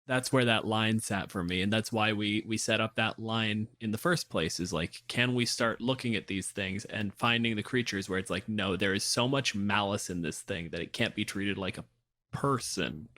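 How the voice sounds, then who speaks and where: A man's voice: on the low side, moderate pace, sharp tone, dry recording. A man hosting a casual tabletop gaming discussion, explaining his opinions plainly. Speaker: podcast host.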